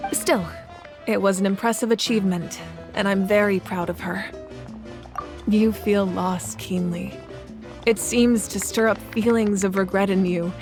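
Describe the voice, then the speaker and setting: A woman voicing an adult female ruler of a land in a video game, speaking in a measured, composed and thoughtful way. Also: medium pitch, natural voice. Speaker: ruling Archon. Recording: game audio with faint music behind.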